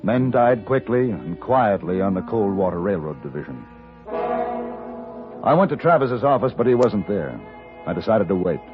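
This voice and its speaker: Cool dry gunslinger from an old radio western, low voice, swings a lot, muffled sound. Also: male voice, huge pitch swings. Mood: grim narrating. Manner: dry, deliberate, commanding. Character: cool, unflappable hired gunman of the Old West. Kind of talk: 1950s radio western acting. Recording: dull old radio recording with music.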